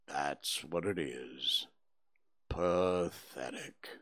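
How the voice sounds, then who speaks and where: A man voicing a gloomy old gray male donkey, speaking slowly and mournfully in a low, breathy, half-whispered voice. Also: a bit bright, heavily acted. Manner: slow, mournful, sighing.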